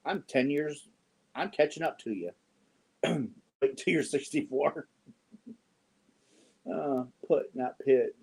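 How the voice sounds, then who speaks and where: A man in his fifties chatting casually with viewers on a yarn livestream, joking and often clearing his throat. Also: on the high side, slow pace, pauses often, a little mellow. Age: 50s. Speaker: yarn channel host. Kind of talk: casual livestream chat answering viewer comments.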